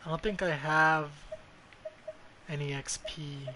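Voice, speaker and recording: A man's voice: fairly high pitch, steady tone, slow pace, sharp tone. A man giving casual gameplay commentary as a streamer, blunt and foul-mouthed, often muttering to himself. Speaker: game streamer. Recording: clearly noisy background.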